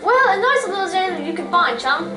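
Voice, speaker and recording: Woman playing a high-voiced gossipy London servant. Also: very animated, normal speed, over music. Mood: chatty, friendly. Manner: gossipy, colloquial Cockney-style talk. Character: chatty working-class London servant, former carriage driver. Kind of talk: old-time radio drama reading on stage. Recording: echoey stage recording from far off.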